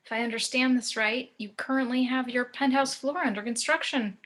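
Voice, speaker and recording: Lady reading as a fed undercover, higher voice, casually digging for answers, script read. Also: fairly even intonation, fairly quick, a bit bright. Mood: probing. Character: probing undercover federal agent, smoothly casual. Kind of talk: amateur table read of a film script.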